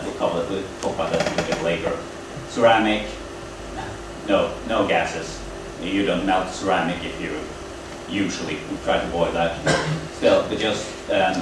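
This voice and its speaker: Man, very low voice, halting technical lecture, far from the mic, air-conditioning hum. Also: very echoey. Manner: halting, explanatory, with frequent pauses. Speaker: lecturer at a training event. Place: lecture room at a university.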